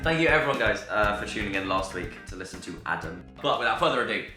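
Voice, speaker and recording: A man's voice: on the low side, animated, fast, bright, forceful tone. A man hosting a podcast interview in an upbeat, conversational style. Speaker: podcast host. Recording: roomy echo, music behind.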